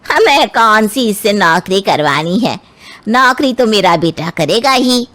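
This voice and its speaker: A woman's voice: medium pitch, animated, measured pace, fairly bright tone. A woman voicing a bossy, effusive middle-aged aunt in a Hindi cartoon. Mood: pushy, scolding. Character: bossy, meddling middle-aged Indian aunt arranging a match.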